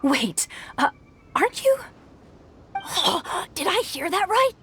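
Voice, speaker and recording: A woman playing a polite, easily awed young tournament clerk in a video game, hesitant and excitable. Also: extremely high pitch, slow, a little hiss or hum, exaggerated voice. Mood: awed, flustered. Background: music.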